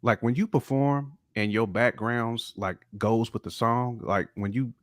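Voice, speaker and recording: A man's voice: medium pitch, steady tone, a little slow, no echo, clean studio sound. A man chatting as a panelist on a hip hop talk show, giving opinions in emphatic slang and repeating words. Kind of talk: casual opinionated chat.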